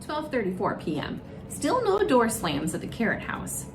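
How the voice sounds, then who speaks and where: A woman's voice: a little high, wildly swooping pitch, normal speed. A woman storyteller narrating a children's storybook aloud. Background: music.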